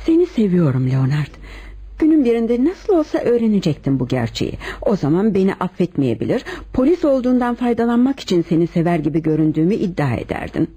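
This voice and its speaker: A woman acting a composed, lovelorn wife confessing to murder in a Turkish radio play, with measured dramatic delivery. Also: on the low side, very animated, warm tone, husky. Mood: pleading, devoted.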